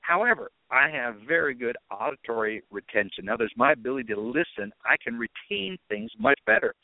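A man's voice: mid-range voice, expressive, a little fast, vocal fry, no echo. A middle-aged man hosting a podcast, telling stories in a rambling, conversational way. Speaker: podcast host. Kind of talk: casual monologue. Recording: tinny phone-like dry audio.

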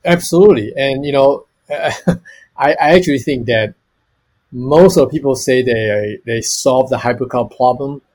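A man's voice: mid-range voice, moderate pace, a little mellow. A man in his fifties from Taiwan, a storage technology executive answering interview questions earnestly and listing many examples.

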